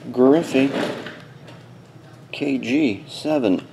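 A man's voice: medium pitch, extremely slow, gravelly, background noise. A man hosting a card break with casual, terse commentary, muttering short fragments as he sorts through cards. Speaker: host of a sports card box break. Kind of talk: casual live commentary while opening card packs. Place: small indoor desk setup.

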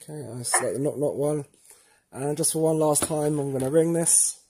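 A man's voice: on the high side, fairly even intonation, normal speed, noisy background. A man presenting a how-to tutorial in a casual, informal way, talking straight to viewers. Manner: informal, addresses viewers as "guys". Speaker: tutorial presenter for a tech retailer.

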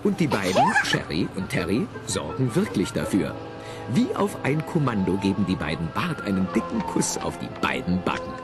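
A man narrates a children's audio play in a fairly low voice. His delivery is theatrical and hugely animated, with chatty asides to the listener. Music plays behind him. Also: normal speed.

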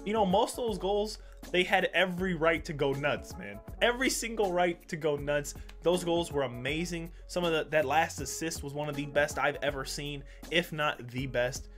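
A man's voice: on the high side, normal speed, fairly bright tone, faint music behind. A young man hosting a reaction video, talking in a laid-back, chatty way, nasal and stuffed up from a cold, on a headset mic in a small room at home. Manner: laid-back, chatty, addresses viewers as guys. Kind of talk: casual reaction commentary. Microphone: gaming headset mic.

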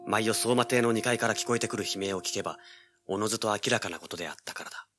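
A man's voice: fairly low pitch, fast, close to an everyday voice. An actor playing a brash, driven young male treasure hunter in an audio drama, with intense dramatic delivery. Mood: tense, grim. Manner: cocky, intense, rough casual speech. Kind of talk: dramatic first-person narration and dialogue, audio drama.

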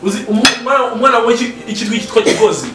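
High-pitched young man, quick, echoey and distant. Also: expressive, a crowd in the background. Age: young adult. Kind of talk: casual chat among friends. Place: indoors at a home dining table. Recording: echoey room, distant mic, clattering dishes, music.

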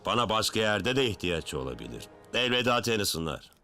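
Forceful, low, solemn alien mentor. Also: male voice, very animated, fairly quick, soft background music, exaggerated voice. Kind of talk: animated series dub acting.

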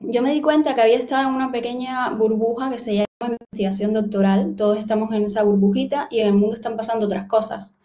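A woman's voice: a little high, fairly even intonation, fast, dark tone. A woman in her thirties with a Cuban Spanish accent gives an online seminar from home, telling her own story in a friendly, personal way. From Cuba. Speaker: guest lecturer, postdoctoral researcher. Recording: dull lo-fi video call audio.

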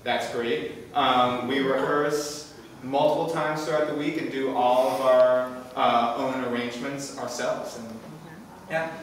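Young man, slow and relaxed, strong room echo, audible hiss. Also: mid-range voice. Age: young adult. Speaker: group spokesperson. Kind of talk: casual group introduction. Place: large echoey hall. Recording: echoey hall from far off with noise.

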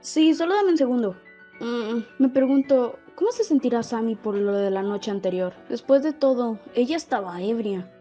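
A woman's voice: fairly high pitch, expressive, normal speed, warm tone, natural voice. A woman playing a flustered, evasive young heroine in an amateur comic fandub, hesitant and stammering. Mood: uneasy. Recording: dull lo-fi audio under music.

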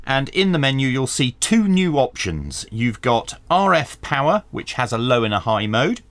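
A man presents a hands-on gadget review with a lively, varied pitch that sits at a medium height. He explains each step in a practical way and slips in dry asides. The sound is close and dry, with very little room in it. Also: moderate pace, dry recording. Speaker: radio review channel host. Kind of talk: hands-on product review and tutorial narration. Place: small indoor home workshop.

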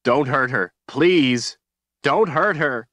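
A man's voice: mid-range voice, slow pace, fairly bright tone, dry recording, clean studio sound, voice pushed up. A man voicing a desperate young man who pleads tearfully. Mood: pleading.

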